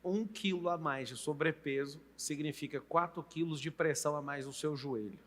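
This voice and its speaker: A man's voice: a little high, normal speed, fairly bright tone, long reverb tail. A Brazilian man in his late thirties hosting an interview, talking in an emphatic, coaching way and often turning to address the viewer. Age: late 30s. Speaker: podcast host and coach. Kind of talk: motivational interview talk.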